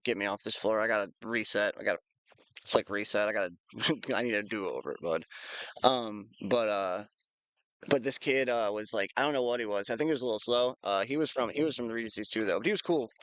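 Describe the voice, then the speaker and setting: A man's voice: on the low side, fast, a bit bright, clean tone, no room sound. A young man casually chatting with a friend, rambling through a jail story with lots of fillers. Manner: rambling with fillers and restarts, laid-back. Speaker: friend and co-host. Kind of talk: casual chat.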